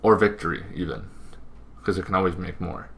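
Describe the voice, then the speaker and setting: A man hosting a casual explainer on tabletop roleplaying lore, talking informally and haltingly with frequent asides. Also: low voice, gravelly, fairly bright tone. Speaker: tabletop gaming video host.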